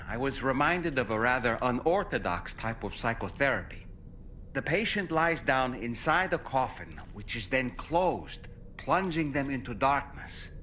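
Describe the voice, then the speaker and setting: An erudite adviser in a game. His delivery is articulate and reflective, with lively pitch and a bright tone. There is faint ambience behind. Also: a little hiss or hum. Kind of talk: video game narration to player.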